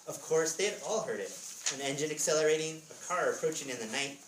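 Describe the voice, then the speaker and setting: A man's voice: a little high, quick pace. A man reading a story aloud as an author at a readers theatre, with casual asides. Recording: echoey room, distant mic, background noise.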